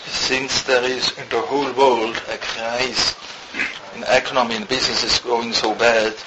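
Man from Switzerland lecturing in halting English. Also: medium pitch, level tone. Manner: halting non-native English, rapid Hebrew reading. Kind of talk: Torah lecture, reading Hebrew text then translating. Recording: muffled with loud background hiss.

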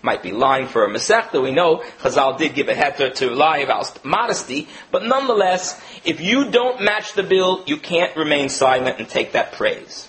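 A man giving a religious lecture, an animated storyteller who illustrates points with stories and quoted voices. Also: fairly high pitch, moderate pace, hardly any pauses, crowd noise, poor audio. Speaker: rabbi teaching a lecture.

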